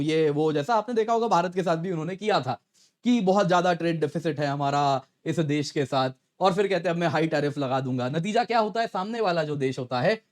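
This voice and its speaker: High-pitched man explaining news. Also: a little mellow. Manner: conversational, rhetorical questions, addresses viewers as friends. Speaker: current affairs educator and presenter. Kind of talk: explanatory news commentary to viewers.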